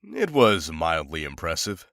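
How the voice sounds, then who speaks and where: A man playing a stoic, dry-witted monster hunter in a visual novel, speaking in a terse, deadpan manner. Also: sits low, measured pace, vocal fry, no room sound, full character voice.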